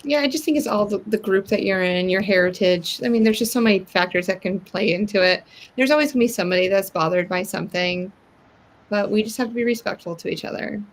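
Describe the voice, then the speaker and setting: A woman joins a casual chat with calm, reassuring remarks. She speaks in an even, measured way at a middle pitch. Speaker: teacher and co-host.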